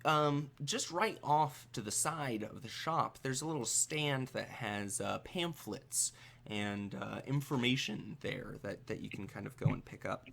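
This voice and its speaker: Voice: medium pitch, unhurried, creaky, fairly bright tone. A game master narrating an improvised tabletop game in a conversational, hesitant way.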